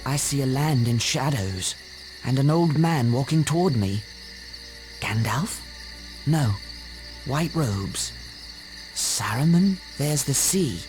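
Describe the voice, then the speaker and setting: A man playing a gentle, troubled young hobbit in a fantasy video game, speaking earnestly and formally. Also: medium pitch, animated, takes it slowly, long pauses, over music. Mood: awed, fearful.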